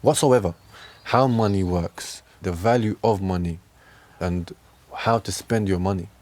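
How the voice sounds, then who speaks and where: A man with a fairly low voice talks candidly in a casual outdoor conversation. He is emphatic, often repeating a phrase and adding little check-in tags. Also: unhurried, darkish tone. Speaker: interviewee. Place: outdoors on a park bench.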